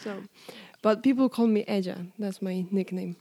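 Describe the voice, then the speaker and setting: A woman's voice: mid-range voice, normal speed, dark tone. A young woman with a Polish accent interviewing a friend in a playful, teasing casual chat. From Poland. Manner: playful, teasing, laughs often. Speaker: guest interviewer.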